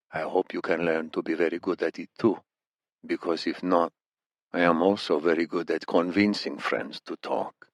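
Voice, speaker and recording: A man voicing a muscular, menacing Iranian interrogator in an audiobook, speaking softly with mock politeness in an Iranian accent. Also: deep voice, no room sound. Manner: soft-spoken, slow, mock-polite menace.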